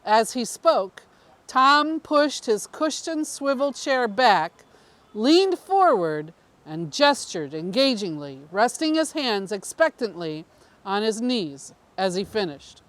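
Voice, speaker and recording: A woman's voice: fairly high pitch, big pitch swings, takes it slowly. A woman reading a scripted story aloud as its narrator. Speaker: story reader.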